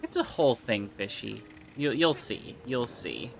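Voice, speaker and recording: A man's voice: medium pitch, big pitch swings, measured pace, vocal fry, soft background music. A man chatting casually with viewers on a gaming livestream, rambling, trailing off and restarting, his throat a little phlegmy. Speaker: game streamer.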